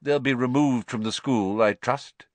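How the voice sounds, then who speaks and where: Man doing a calm, quiet old headmaster. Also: medium pitch, no room sound, pitched above the performer's own voice, close to an everyday voice. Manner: quiet, measured, unruffled.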